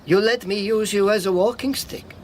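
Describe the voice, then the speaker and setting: An actor in a radio drama playing a sardonic, battle-worn European refugee with a lame leg, speaking haltingly with mocking formality. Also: male voice, high-pitched, fairly even intonation, slight background noise, lightly acted. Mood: earnest, intimate.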